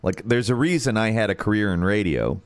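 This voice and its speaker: A man with a deep radio-style voice and an American accent, hosting a casual livestream and thinking out loud as he searches for words. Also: animated, brisk. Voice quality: turns nasal when singing high.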